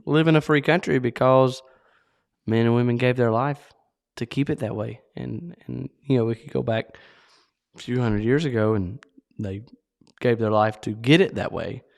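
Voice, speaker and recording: A man's voice: medium pitch, moderate pace, vocal fry, darkish tone. Easygoing young man with a country accent. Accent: North Carolina country. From North Carolina. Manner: easygoing, laughing, agreeable. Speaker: co-host. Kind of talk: casual podcast chat.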